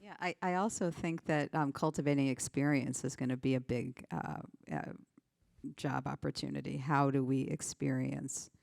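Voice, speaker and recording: A woman's voice: a bass voice, fairly even intonation, normal speed, creaky, darkish tone, no echo. A woman on a stage panel sharing stories and ideas into a handheld mic. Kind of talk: panel discussion.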